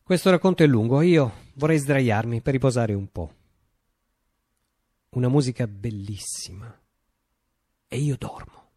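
An actor playing a visionary revolutionary who recounts his utopia in a declamatory radio theatre monologue, with deliberate pauses and emphasis. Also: medium pitch, warm tone, no echo, lightly acted. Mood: weary, dreamy.

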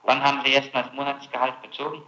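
A man's voice: mid-range voice, level tone, fast talker, pushed and bright. A man questioning a suspect formally as a prosecutor on an old tape, probing persistently and pressing for exact answers. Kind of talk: formal interrogation. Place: indoor room, archival interrogation tape. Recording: echoey room on 1960s tape.

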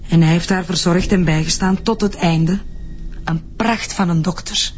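A woman's voice: on the low side, fairly even intonation, normal speed, a little hiss or hum, natural voice. Actress as a defiant Flemish woman suspect. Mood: guarded, defensive. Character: defensive, defiant woman suspect under police questioning. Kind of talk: radio drama dialogue.

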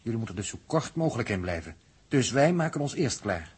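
An actor playing a level-headed crew leader who gives brisk, matter-of-fact instructions in a 1960s Dutch radio drama. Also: male voice, mid-range voice, very animated, a little mellow, breathy edge. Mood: businesslike. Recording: noisy old 1960s radio recording.

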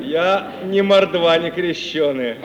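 An actor playing an anxious, lovesick young merchant's son with folksy, plaintive, grumbling speech in an old radio play. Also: male voice, a little high, slow. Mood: fretful. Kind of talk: 1950 Russian radio play acting. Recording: muffled, hissy 1950s radio recording.

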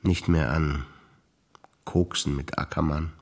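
An older man, a stage actor reciting memories in a rhythmic, deliberate listing manner with pauses. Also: a bass voice, fairly even intonation.

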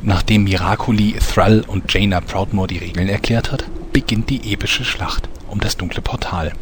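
A man plays the solemn storyteller of a fantasy parody radio play. His lowish voice delivers scripted mock-heroic narration over clearly audible noise. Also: normal speed, close to an everyday voice.

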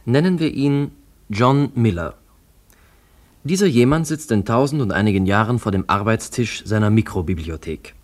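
Casting: man as detached archive researcher, lowish voice, clipped radio drama narration. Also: expressive, normal speed, natural voice. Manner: clipped, matter-of-fact. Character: detached male researcher studying archive records on screen.

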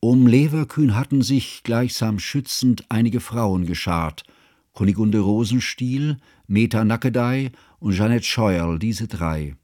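Composed narrator in a literary radio play, lowish mellow voice, measured n formal, very dry sound. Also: male voice, no echo. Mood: measured, watchful. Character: observant, composed male narrator recalling a friend's confession.

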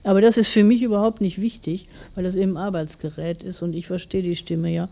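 A woman's voice: lowish voice, steady tone, a little fast, creaky, mellow. A woman in her forties from Germany, explaining things as an interviewed writer. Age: 40s.

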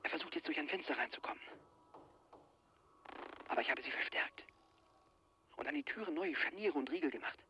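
A man's voice: on the high side, animated, fairly quick, long pauses, lo-fi sound, slight background noise, lightly acted. An actor in a radio play, playing a frightened, obsessed travel writer who believes he is being hunted, with an agitated, dramatic delivery. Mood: hushed fear. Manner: agitated, dramatic, swinging from hushed dread to frantic outbursts. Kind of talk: 1980s German radio play acting.

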